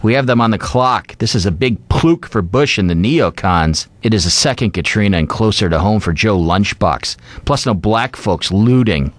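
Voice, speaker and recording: An outraged male political blogger as a man acts him out rants in a low voice with big swings of pitch. The delivery is a theatrical, indignant dramatic reading for radio, recorded dry. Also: expressive, normal speed, no echo, natural voice. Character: outraged, ranting left-wing male blogger. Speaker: radio show reader. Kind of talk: dramatic reading of angry blog posts.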